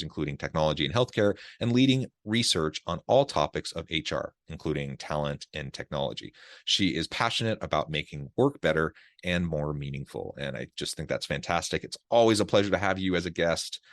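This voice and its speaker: A man's voice: low voice, fairly bright tone, no echo, studio quality. A man hosting a podcast interview, who often repeats words as he starts sentences. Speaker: podcast host. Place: south of Salt Lake City.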